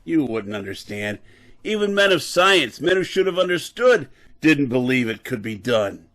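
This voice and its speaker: A man performs a disgraced brain surgeon with a mid-pitched voice, bitter and soaked in drink. He lectures in the manner of old radio drama, stumbling here and there over his words. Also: sing-song, normal speed, fairly bright tone. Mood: bitter, defiant. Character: embittered, drunken disgraced brain surgeon and professor.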